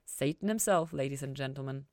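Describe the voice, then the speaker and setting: A woman's voice: very deep voice, a little fast, darkish tone, dry recording. A woman voicing a suave, proud male devil in an audiobook story, with formal phrasing and dry, drawling wit. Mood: dry, grudging. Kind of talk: acted fan fiction audiobook dialogue.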